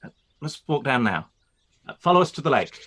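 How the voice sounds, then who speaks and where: A man acting a formal, forceful gentleman. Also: medium pitch, sing-song. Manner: formal, measured, with brief hesitations. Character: reserved proud young gentleman landowner, newly courteous. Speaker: actor in a live-read radio play. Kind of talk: period radio play acting.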